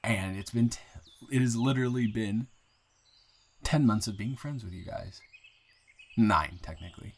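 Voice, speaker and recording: Man acting as smitten young newcomer, lowish voice, earnest, halting, rambling, intimate romantic audio roleplay. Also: breathy edge. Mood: earnest, tender. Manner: halting, rambling, with repeated words and nervous chuckles. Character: earnest, smitten 23-year-old man, new in town.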